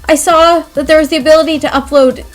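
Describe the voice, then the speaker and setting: A young adult woman chatting casually in a giggly, animated, self-deprecating way, as if telling stories to viewers. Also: high-pitched, fast talker. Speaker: YouTube artist telling personal stories. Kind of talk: casual rambling chat over speedpaint. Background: background music.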